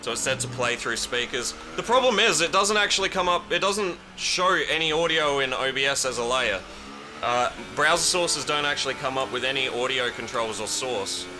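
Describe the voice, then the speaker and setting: A man's voice: medium pitch, expressive, normal speed. A young Australian man streaming a racing game, chatting loosely with viewers and swearing casually as he drives. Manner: rambling, chatty, swears casually, answers chat. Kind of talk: casual live-stream chat while racing. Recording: racing game audio behind voice. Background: game engine noise.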